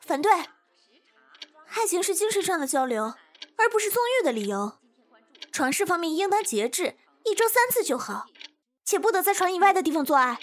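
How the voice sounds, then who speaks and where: A woman's voice: very high-pitched, very animated, moderate pace, long pauses. A woman acting a stubborn, principled young secretary in a modern radio drama, earnest and easily indignant. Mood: prim. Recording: music behind the voice.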